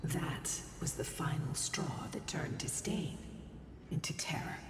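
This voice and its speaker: A woman playing an ancient, solemn and wistful winged guardian in a video game, with a breathy voice and grave, measured storytelling. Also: low-pitched, far from the mic. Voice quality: breathy, whispery. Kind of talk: video game dramatic dialogue. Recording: voice in long echoing reverb, music behind. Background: game music.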